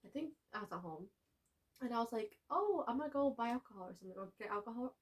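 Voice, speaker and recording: A young woman co-hosting a podcast, telling stories in animated casual chat. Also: a little high, fast. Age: young adult. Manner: animated storytelling with frequent laughs. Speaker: podcast co-host.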